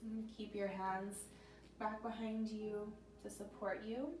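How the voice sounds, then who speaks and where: A woman leads a yoga class in calm, gentle cues, her voice a little scratchy, and she clears her throat now and then. Her delivery stays flat and even. She sounds distant in an echoey room, with soft music playing underneath. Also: medium pitch, slow. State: slightly scratchy voice. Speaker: yoga teacher. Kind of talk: guided yoga instruction. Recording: roomy echo from a distant mic with background music.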